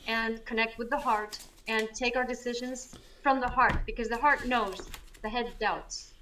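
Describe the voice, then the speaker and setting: A woman co-hosting a spiritual astrology show, talking in an energetic, emphatic way in casual chat over a video call. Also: fairly high pitch, normal speed, distant mic, a little hiss or hum. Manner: energetic, emphatic, self-described loud. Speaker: co-host, astrologer.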